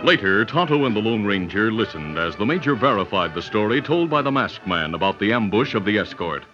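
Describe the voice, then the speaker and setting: A man's voice: lowish voice, sing-song, a little fast. A man giving formal, dramatic scripted narration as an old-time radio announcer. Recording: dull vintage radio recording, loud music.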